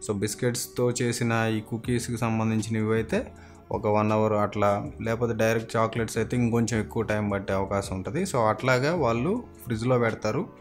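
A man's voice: fairly low pitch, fairly even intonation, a little fast, soft background music. Friendly young man explaining on a tour. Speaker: vlogger. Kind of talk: casual vlog narration. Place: small factory workshop, indoors.

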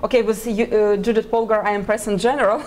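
A woman's voice: medium pitch, steady tone, a little mellow. A woman in her thirties, a native Russian speaker who grew up in Ukraine, answering interview questions as a chess player in hesitant English. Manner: hesitant, halting, laughs often between phrases. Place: indoor press area before a sponsor backdrop. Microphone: desk microphone.

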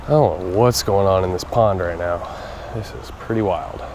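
A man's voice: low-pitched, moderate pace, darkish tone. Chatty young man fishing outdoors. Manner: chatty, talks to viewers while fishing. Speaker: fishing vlogger. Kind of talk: casual outdoor commentary. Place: outdoors at a pond. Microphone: action camera. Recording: outdoor noise from a body camera.

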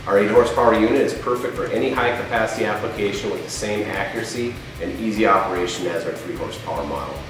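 A man's voice: on the low side, quick pace, few pauses. A middle-aged man giving a product presentation and demonstration as a company presenter. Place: indoor workshop showroom. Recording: roomy echo, faint music behind.

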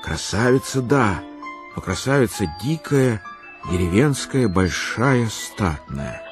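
An actor reading as the author-narrator of a literary period tale in a radio play. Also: male voice, on the low side, animated, slow pace, over music. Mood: measured storytelling.

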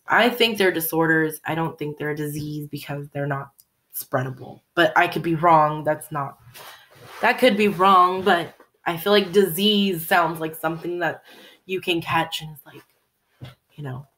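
A young woman, hoarse from a cold, chatting in a rambling, self-correcting way to a home webcam in her living room. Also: low voice, fairly bright tone. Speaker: vlogger. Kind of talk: casual personal catch-up vlog. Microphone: webcam at desk.

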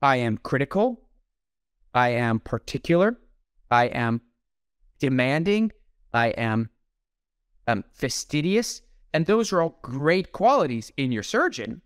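A man's voice: medium pitch, very animated, slow, long pauses, clean tone, dry recording, studio quality. A middle-aged man, a plastic surgeon, talking bluntly and jokingly on a video call. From Iran. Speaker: plastic surgeon, podcast host. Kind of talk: casual interview chat. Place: home room on a video call.

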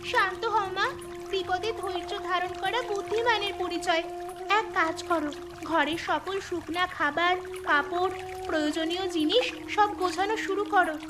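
A very high, bright young village girl speaks earnestly in a cartoon, voiced by a woman. She stays sensible and calm when trouble comes. Music plays clearly behind her. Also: moderate pace. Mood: urgent. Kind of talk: cartoon voice acting. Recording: music under the voice.

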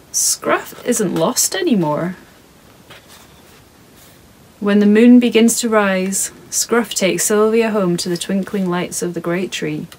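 A woman in her thirties reading her picture book aloud to children in a soft, calm, measured way, recorded in her home studio. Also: mid-range voice, husky, rough recording.

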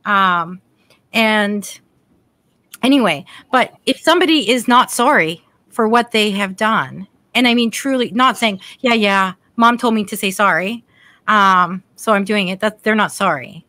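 A woman's voice: medium pitch, measured pace, no echo. A middle-aged woman hosting a casual spiritual chat over a video call from her home, talking chattily and reading scripture aloud. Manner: chatty, warm affirmations, reads scripture aloud. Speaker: podcast host. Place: home room, indoors. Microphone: desk mic on video call.

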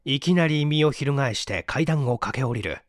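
A man's voice: mid-range voice, lively intonation, normal speed, dry recording, studio quality. A man reading a mystery story aloud as scripted, dramatic narration. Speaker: audiobook narrator.

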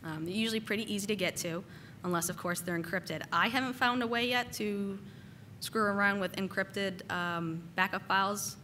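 A woman giving a casual technical conference talk, slightly hoarse from a cold and using lots of "uh" and "um" fillers. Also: mid-range voice, normal speed, pushed and bright, a little hiss or hum. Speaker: conference speaker. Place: conference hall.

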